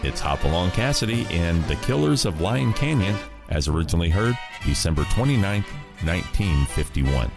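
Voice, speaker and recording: A man hosting a classic radio program, introducing the episodes in an even, unhurried way. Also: deep voice, gravelly, over music. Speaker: host.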